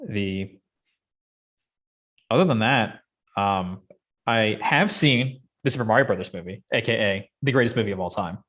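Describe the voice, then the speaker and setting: A man's voice: lowish voice, normal speed, pauses often, fairly bright tone. A man in a casual, rambling, opinionated chat about films and games, as a podcast host.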